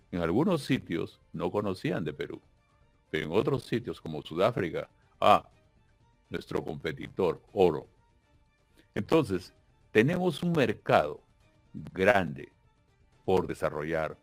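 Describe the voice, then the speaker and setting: Peruvian male candidate, emphatic and solemn; deep, slow delivery. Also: creaky, no room sound. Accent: Peruvian Spanish. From Peru. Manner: solemn, emphatic, repeats words while searching. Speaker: political candidate. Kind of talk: campaign interview speech.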